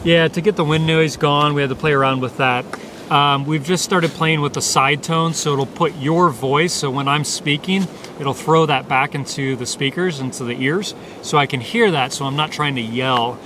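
A man's voice: on the high side, normal speed. A man in his thirties giving a casual, unscripted motorcycle gear review in a meandering, hesitant way, outdoors on a camera mic. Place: outdoors in dry grass by parked motorcycles. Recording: noisy outdoor camera recording.

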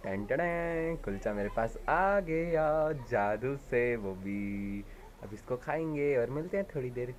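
A man's voice: mid-range voice, lively intonation, slow, slight background noise. A man, chatting casually and playfully to the camera as a vlogger, addressing viewers directly. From India. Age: 20s. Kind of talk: casual vlog chat to camera.

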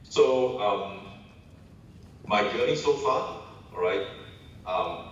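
A man from Singapore giving a keynote lecture over a video call, talking casually with many ums and pauses. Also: lowish voice, animated, distant mic. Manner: casual, hesitant with many fillers. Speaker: keynote speaker, associate professor. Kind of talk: remote academic lecture. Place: large lecture hall. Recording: video call played over hall speakers, echoey and dull.

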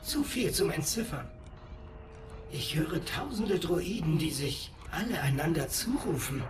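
A fussy game droid with a raised, precise voice. It reports politely, like a robot companion. The sound is distant and echoey, with music behind. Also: male voice, a little high, animated, measured pace. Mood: anxious, reporting. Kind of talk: game dub.

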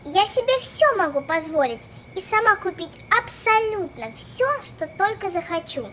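A woman's voice: very high voice, big pitch swings, moderate pace, bright, forceful tone, a little hiss or hum, full character voice. A woman voicing a spoiled, bossy rich diva girl doll in a children's cartoon, speaking in an imperious, self-important way. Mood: self-satisfied.

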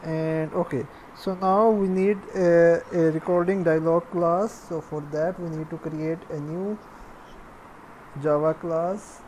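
A woman with a fairly low voice presents a programming screencast at a very slow pace. She speaks in short, halting pieces, walking through each step. Faint noise sits behind her. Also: fairly even intonation, darkish tone, rough recording. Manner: halting, piecemeal phrasing while typing. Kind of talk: step-by-step screencast narration of coding.

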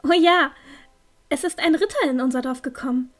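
A woman's voice: high-pitched, moderate pace, lightly acted. A woman playing an earnest teenage village girl, eager yet unsure, in a fantasy audio drama. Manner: earnest, youthful.

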